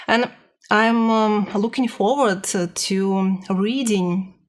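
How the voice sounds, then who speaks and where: Slow, hesitant woman vlogger. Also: mid-range voice, fairly even intonation. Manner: hesitant, nervous, searching for words. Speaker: language learner vlogger. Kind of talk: casual personal report to camera.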